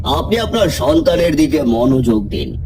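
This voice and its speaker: A man's voice: a little high, sing-song, normal speed, music in the background. A man voicing a solemn, imposing ascetic who warns of doom in a cartoon horror story. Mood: grave, ominous. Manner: solemn, ominous. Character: grave ascetic holy man foretelling doom, imposing and persuasive.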